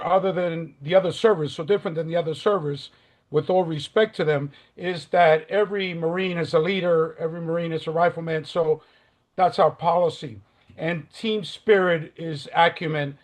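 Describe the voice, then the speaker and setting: An older man, originally from the Dominican Republic, speaks over a video call in a slightly high voice. He delivers his interview opening statement earnestly and haltingly, with frequent fillers. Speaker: job candidate.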